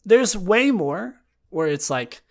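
A man with a raspy, worn-out throat hosting a casual solo episode. He rambles with frequent restarts and self-deprecating asides, and sometimes reads a written write-up aloud. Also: high voice, expressive, takes it slowly. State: hoarse, raspy throat from talking a lot. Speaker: podcast host.

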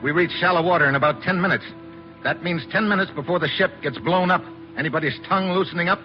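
An actor playing a tough, wry American special agent in a terse 1940s radio drama style. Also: male voice, sits high, quick pace, faint music behind, close to an everyday voice. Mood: pressing. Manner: hard-boiled, terse, wry. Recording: muffled, hissy 1940s radio recording.

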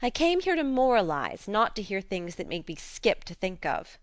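Woman as a brisk, frank teenage tomboy, clear-voiced. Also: medium pitch, very animated, dry recording, lightly acted. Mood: firm. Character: spirited, sensible tomboy teenage girl, soothing and frank. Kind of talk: audiobook character reading.

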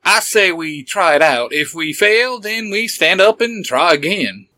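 A man's voice: animated, measured pace, bright, forceful tone, no room sound, heavily acted. A man voicing an easygoing male mutant mercenary who mutters his lines. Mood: resolute. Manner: low muttering.

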